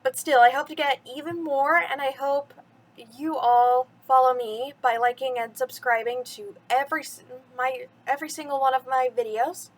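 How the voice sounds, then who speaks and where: A woman's voice: high-pitched, steady tone, moderate pace. A woman hosting a bedtime story reading for children, chatty and addressing the young listeners directly.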